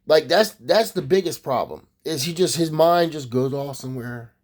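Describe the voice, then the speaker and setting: A man's voice: fairly high pitch, animated, moderate pace, darkish tone. A man from Maryland, USA, delivering a profane, rambling casual monologue as a vlogger.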